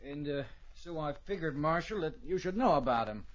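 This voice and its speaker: Cocky, higher-pitched cowpoke, a man acting. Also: expressive, moderate pace, lightly acted. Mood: uneasy. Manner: insolent, hesitant and blustering. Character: cocky, shifty, defensive young cowpuncher. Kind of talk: old radio western acting. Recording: dull old radio recording.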